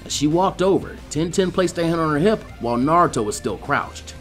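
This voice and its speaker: A man reads an action story as an expressive narrator. His voice is on the high side and very lively in its rise and fall, with faint music underneath. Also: fairly quick. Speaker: fan fiction audiobook narrator. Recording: music behind the voice.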